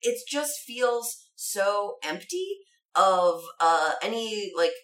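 A woman's voice: mid-range voice, animated, slow, a bit bright. A woman co-hosting a comedy podcast who searches for her words, hesitant and full of fillers. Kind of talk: casual chat. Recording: roomy, distant from the mic.

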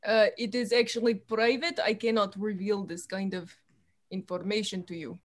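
A woman voicing a stern, secretive young woman student who speaks in halting, formal broken English, as an improvised comedy podcast bit. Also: medium pitch, steady tone, close to an everyday voice. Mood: guarded.

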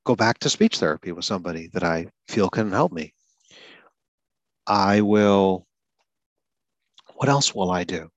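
A man who hosts a podcast for people who stutter, calmly talking through a goal-setting exercise with some small repetitions. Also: fairly low pitch, dry recording. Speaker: podcast host and stuttering advocate. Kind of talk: solo podcast explanation.

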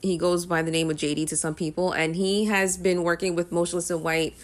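Rambling young woman with a low voice. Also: little pitch movement, brisk. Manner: rambling, many ums and uhs. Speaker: music vlogger. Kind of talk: casual talk to camera.

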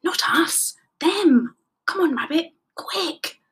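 Woman putting on an earnest high-pitched storybook teddy. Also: expressive, takes it slowly, fairly bright tone, full character voice. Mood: urgent. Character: earnest, excitable peacekeeping teddy bear. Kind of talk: children's storybook character voice.

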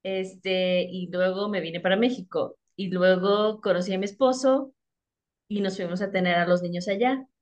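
A woman's voice: medium pitch, steady tone, normal speed. Middle-aged woman in casual chat. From United States, Texas. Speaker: podcast guest, English teacher.

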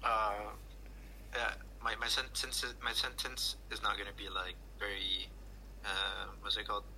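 Bright-voiced man, hesitant and searching for words. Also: on the low side, level tone, unhurried, rough recording. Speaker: podcast guest. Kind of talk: casual chat. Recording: faint background noise.

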